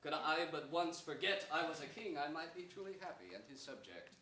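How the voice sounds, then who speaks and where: A young man playing a gentle, melancholy captive king, declaiming earnest Shakespearean verse in a staged reading. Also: fairly high pitch, big pitch swings, a little fast. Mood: courteous, resigned. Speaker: stage actor. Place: large indoor theater stage before an audience. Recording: echoey theater, distant microphone, some noise.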